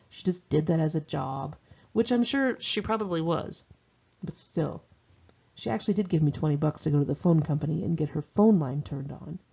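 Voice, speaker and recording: A woman's voice: lowish voice, dark tone, breathy edge, muffled recording. An American woman reads her own memoir in a conversational, candid, wry and slangy way. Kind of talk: conversational first-person memoir narration.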